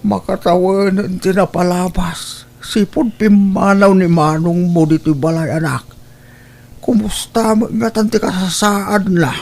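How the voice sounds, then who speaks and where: Man acting weary old Filipino father, creaky voice. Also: sits high, fairly even intonation, measured pace, darkish tone. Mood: resigned. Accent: Filipino (Ilocano). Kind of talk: audio drama acting.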